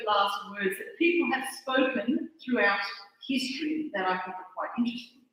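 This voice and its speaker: A woman's voice: fairly high pitch, fairly bright tone. A woman from Australia with an Australian accent, giving a chatty, self-deprecating conference talk that halts and restarts. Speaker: conference speaker. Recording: echoey hall, mic far off.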